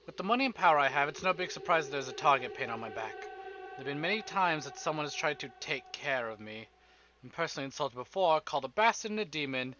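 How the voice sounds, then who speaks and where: A man's voice: on the high side, lively intonation, quick pace, sharp tone, highs cut off, slight background noise. A man reading dramatic first-person narration aloud from a story game as a streamer. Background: game music.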